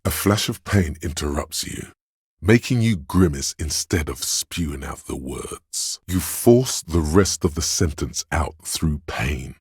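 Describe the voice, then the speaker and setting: A man voicing a menacing, darkly poetic inner voice of pain in a video game, narrating in a brooding, deliberate and goading way. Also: a bass voice, breathy edge, no room sound, clean studio sound, exaggerated voice. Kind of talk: dramatic second-person game narration.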